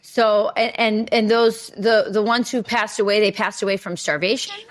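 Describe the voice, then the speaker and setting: A woman co-hosts a podcast in a chatty, conversational way and interviews a guest. She often restarts her sentences and fills pauses with "um" and "like." Her voice sits in a mid register. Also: medium pitch, fairly even intonation. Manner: chatty, with restarts and filler words. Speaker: podcast co-host.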